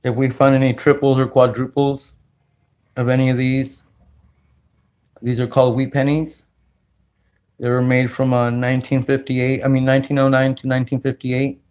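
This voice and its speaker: A man from California hosting a casual livestream, talking slowly with many fillers as he greets viewers. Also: mid-range voice, completely flat delivery, darkish tone. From California, United States. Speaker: livestream host. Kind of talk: casual livestream chat while sorting coins.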